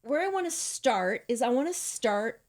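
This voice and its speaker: A woman's voice: fairly high pitch, sing-song, moderate pace. A woman hosting a casual interview podcast, bubbly and enthusiastic, laughing often as she chats with her guests. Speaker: podcast host. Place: California.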